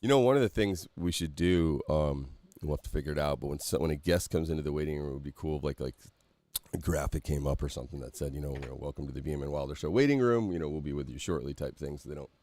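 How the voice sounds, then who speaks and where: Guy hosting a podcast, super deep voice, lots of ums, dry sound. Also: fast talker, dry recording. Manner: animated, swearing, many fillers. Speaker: podcast host. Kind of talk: casual chat.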